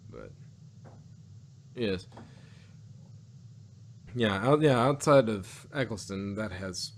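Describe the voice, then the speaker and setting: A man hosting a casual review chat, halting and rephrasing as he thinks out loud. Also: on the low side, animated. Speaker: audio drama review podcast host.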